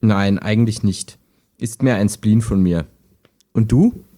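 A man playing a calm, helpful, lonely chat partner in an audio drama, with a measured, earnest delivery. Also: on the low side, close to an everyday voice. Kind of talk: amateur audio drama dialogue, read chat.

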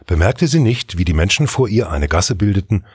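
A man with a husky voice narrating a scripted fairy tale in an expressive read-aloud style. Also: deep voice, brisk, dry recording, clean studio sound. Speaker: storyteller, narrator.

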